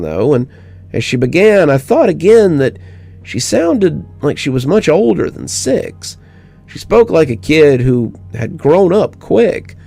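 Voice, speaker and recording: A man's voice: medium pitch, gravelly, dark tone. A man reading a scripted spooky story as a horror narrator.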